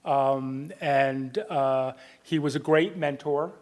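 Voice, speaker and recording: A man with a booming voice moderating a town candidates night, talking with many ums and restarts. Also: fairly even intonation, slow, darkish tone. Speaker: debate moderator. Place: public meeting room.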